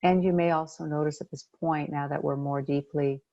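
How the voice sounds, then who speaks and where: A woman leading a guided meditation as a coach, speaking gently and unhurriedly with pauses between phrases. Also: deep voice, fairly even intonation, dry recording, muffled recording.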